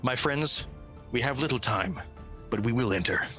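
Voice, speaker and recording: Fantasy game warrior , voiced by a male game master: grave, commanding, deliberate, with a bright, forceful edge. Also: mid-range voice, soft background music, close to an everyday voice. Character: stern, commanding male bird-folk warrior hero.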